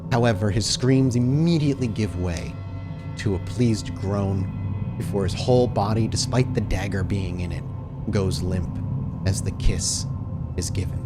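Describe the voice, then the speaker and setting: A man narrating a tabletop horror game as game master, cinematic, vivid and deliberate. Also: on the low side. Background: music.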